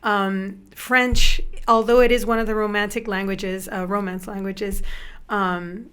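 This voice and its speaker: A woman's voice: mid-range voice, flat delivery, measured pace, fairly bright tone, clean tone. Upbeat young woman, spanish first language, chatty podcast guest, laughs a lot. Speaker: guest musician. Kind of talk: casual podcast chat.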